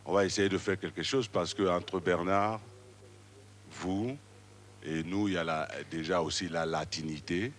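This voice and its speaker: A TV host asking slow, hesitant questions from off camera in a studio. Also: male voice, sits low, steady tone. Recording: distant voice in noisy studio crowd.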